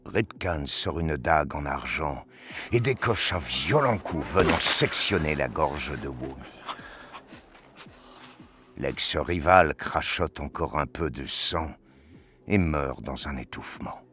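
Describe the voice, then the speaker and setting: A man narrating a dark audio drama in a grave, deliberate, scripted style. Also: very deep voice, animated. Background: music.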